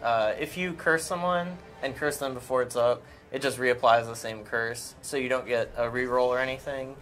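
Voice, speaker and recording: A man's voice: mid-range voice, fairly even intonation, moderate pace, fairly bright tone, soft background music. A man co-presenting a game stream, explaining technical gameplay details in an informal, matter-of-fact way.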